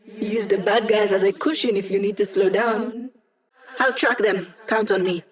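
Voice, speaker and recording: A woman's voice: mid-range voice, fairly even intonation, close to an everyday voice. An actress voicing a witty young female hacker in a video game, delivering sly, teasing quips to her team. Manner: wry, teasing, quick quips. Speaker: hacker support character.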